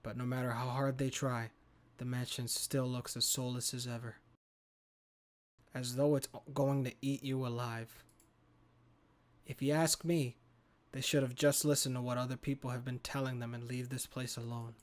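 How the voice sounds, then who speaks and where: A man's voice: medium pitch, flat delivery, normal speed. A man reading a horror game's story narration aloud as a gaming host. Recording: clear background noise.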